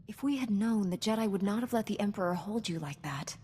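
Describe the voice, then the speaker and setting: A young female Jedi knight speaks at a medium pitch with earnest resolve. Her delivery is formal and composed, as in a dramatic video game cutscene, and carries long hall reverb. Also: expressive, a little fast, warm tone, breathy edge. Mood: firm.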